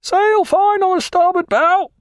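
A man's voice: very high-pitched, dry recording, clean studio sound, voice pushed up. A man doing a lookout hailing from aloft. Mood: calling out. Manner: slow, unemphatic hailing. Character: male lookout hailing from high aloft, unhurried.

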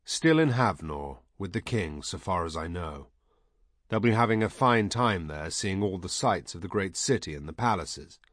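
A man voicing a kindly, grave old wizard in an audiobook, speaking gently and with wry understatement. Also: low voice, animated, normal speed, no room sound, clean studio sound. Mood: gentle, wry. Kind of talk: audiobook character voice.

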